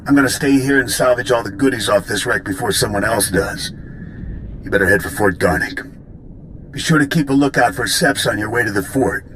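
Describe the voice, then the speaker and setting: An actor playing an easygoing veteran army lieutenant and mechanic in a video game, with a folksy, chummy manner. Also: male voice, mid-range voice, brisk, a bit bright, a little hiss or hum, lightly acted, rough recording. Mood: friendly, rueful.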